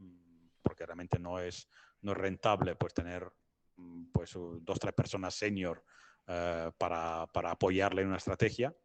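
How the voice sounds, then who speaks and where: A middle-aged man from Italy, a non-native Spanish speaker, explaining his work as an interview guest in a halting way, searching for words. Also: low-pitched, dry recording. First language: not Spanish. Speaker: interview guest, business advisor. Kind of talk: conversational explanation.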